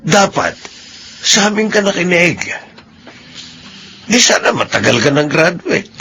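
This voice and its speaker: An older Filipino father in a radio drama, with a creaky, forceful voice over noticeable noise. He is weary and caring, gravely admonishing, disappointed in his children. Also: sits high, very animated, measured pace, exaggerated voice.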